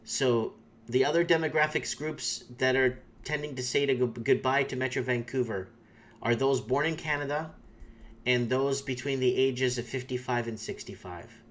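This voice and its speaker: A man's voice: mid-range voice, moderate pace, fairly bright tone. A man whose first language is Portuguese, hosting his own channel and rambling through opinionated commentary on viewer comments, with many fillers and restarts. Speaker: YouTube channel host.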